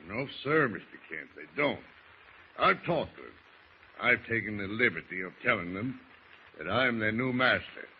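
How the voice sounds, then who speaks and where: An actor playing a scheming, folksy seafaring ship's master who speaks in salty dialect in an old radio drama. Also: male voice, lowish voice, lively intonation, normal speed. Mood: wheedling, folksy. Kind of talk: 1940s radio drama acting. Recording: muffled, noisy old radio recording.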